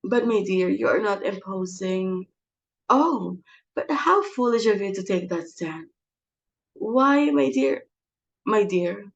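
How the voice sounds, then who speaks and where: A woman's voice: mid-range voice, lively intonation, slow pace, muffled recording. A woman acting a domineering, melodramatic middle-aged society hostess in a dramatic play reading, theatrical and exasperated. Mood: pleading politeness.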